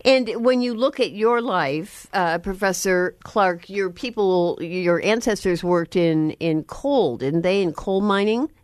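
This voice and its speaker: A woman's voice: medium pitch, slow pace, few pauses, a little mellow, dry recording. A woman hosting a public radio interview, asking friendly, rambling questions and laughing easily. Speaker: radio host.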